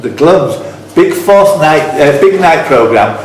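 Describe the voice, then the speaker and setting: A man's voice: a little high, expressive, a little slow. A man working as a quizmaster, reading out questions with blunt, teasing, informal banter. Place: echoey indoor club room. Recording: very echoey room, faint background noise.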